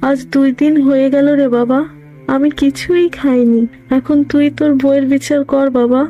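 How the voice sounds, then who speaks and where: A woman's voice: high-pitched, fairly even intonation, normal speed, warm tone, dull audio, close to an everyday voice. A woman voicing a spiteful, complaining middle-aged mother-in-law in a fairy-tale cartoon, sarcastic and self-pitying. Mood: wailing, self-pitying.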